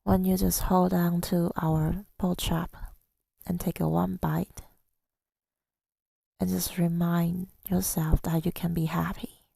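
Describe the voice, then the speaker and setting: A woman telling a story in soft-spoken ASMR style, gentle and unhurried, slipping toward a breathy whisper, close to the microphone. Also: lowish voice, level tone, dry recording. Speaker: ASMR audio creator.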